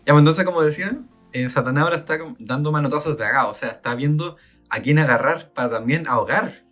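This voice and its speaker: A man's voice: fairly high pitch, fast, fairly bright tone, soft background music. A Chilean man co-hosting a podcast, talking thoughtfully with many fillers and reading passages aloud. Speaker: podcast co-host. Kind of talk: casual talk and reading aloud.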